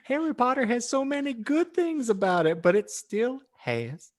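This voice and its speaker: Man putting on a gushing, pious housewife, animated swoops. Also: very high-pitched, moderate pace, voice pushed up. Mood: gushing, preachy. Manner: gushing, earnest, exaggerated emphasis. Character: pious, chirpy bored housewife writing moralizing fan fiction. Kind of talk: dramatic storybook narration.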